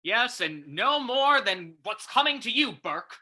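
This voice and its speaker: A man playing a blustering, gruff police commissioner in an old-time radio play. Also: very high voice, very animated, measured pace, bright, forceful tone, heavily acted. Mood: triumphant, commanding.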